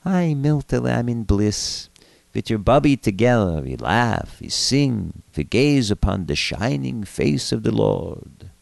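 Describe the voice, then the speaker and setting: A man's voice: fairly low pitch, sing-song, a little slow, gravelly, darkish tone, no room sound, pitched above the performer's own voice, heavily acted. A man voicing the ghost of a tender old grandfather with a thin, quavering voice in a comic story. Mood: blissful.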